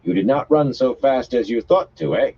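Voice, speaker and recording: A man's voice: medium pitch, lively intonation, normal speed, dark tone, husky, pitched above the performer's own voice. Menacing foreign-accented male thug, mocking, performed by a male narrator, lo-fi. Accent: foreign-sounding. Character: grim menacing male guild enforcer.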